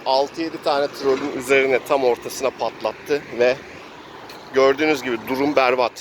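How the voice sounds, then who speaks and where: A man's voice: medium pitch, fairly even intonation, moderate pace. A man narrating an improvised tabletop adventure as game master, in a theatrical storytelling way. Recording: noisy outdoor recording with traffic ambience.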